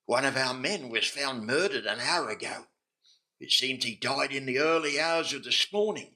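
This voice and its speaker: A man's voice: medium pitch, normal speed, bright, forceful tone, low-quality recording. An actor playing a deferential, earnest police sergeant in an old-time radio drama, reporting respectfully to his superiors.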